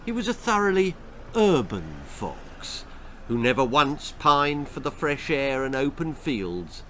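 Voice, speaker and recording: A man's voice: medium pitch, big pitch swings, measured pace, pushed and bright, a little hiss or hum. A man with a British accent reading his own story to children in a dramatic storytelling style. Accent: British English. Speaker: author reading own stories.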